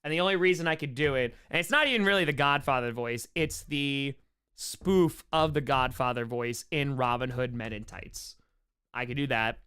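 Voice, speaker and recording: A man's voice: mid-range voice, moderate pace, sharp tone, smooth. A man hosting a casual livestream Q&A, joking and repeating short phrases as he goes. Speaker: streamer hosting a live Q&A.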